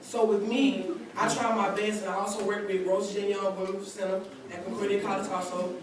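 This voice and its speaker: A woman's voice: medium pitch, level tone, fairly quick. Young woman speaking in an echoey church hall. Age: 20s. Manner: earnest, talks in long run-on sentences with restarts. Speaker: college student speaking at a community seminar. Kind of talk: personal testimony at a gathering. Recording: very echoey hall recorded from a distance with background noise.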